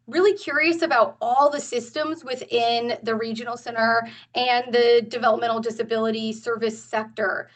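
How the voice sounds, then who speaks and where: A woman in her forties gives an earnest presentation at a community meeting. Her voice sits a bit high for a woman, often pausing on fillers and restarting her sentences. She is picked up from some distance away from the mic. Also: a bit bright. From Northern California. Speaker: guest presenter.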